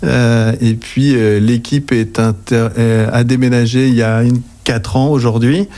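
Man, forties, French accent, mellow voice, mid pitch, halting interview answers. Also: steady tone. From Anjou, western France. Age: 40s. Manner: very halting, hesitant delivery. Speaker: accounting agency director, interview guest. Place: interview on site in an office.

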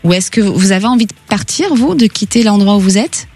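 A woman's voice: medium pitch, animated, fast, darkish tone, faint music behind. A woman hosting a radio phone-in, giving callers intuitive advice in a conversational, reassuring and encouraging way. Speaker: radio phone-in host giving psychic readings.

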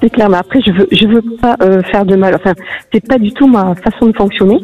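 A woman in her fifties calling in to a radio show, speaking haltingly with many fillers. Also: medium pitch, soft background music. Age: 50s. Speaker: caller. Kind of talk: phone-in conversation. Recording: muffled distant phone line.

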